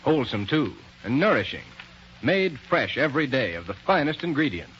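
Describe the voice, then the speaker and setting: A male announcer from old-time radio, delivering scripted lines with showy emphasis. His pitch is middling, and the recording is muffled with faint noise. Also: big pitch swings, moderate pace. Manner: showy, emphatic salesmanship and storytelling.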